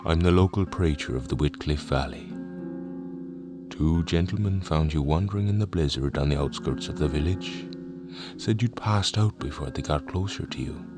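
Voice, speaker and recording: Guy playing a super deep slow preacher, fatherly n soothing but kinda creepy, audio drama, music behind. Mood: measured, reassuring. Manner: slow, deliberate, paternal, calls the listener brother. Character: unhurried village preacher, soothing yet quietly ominous.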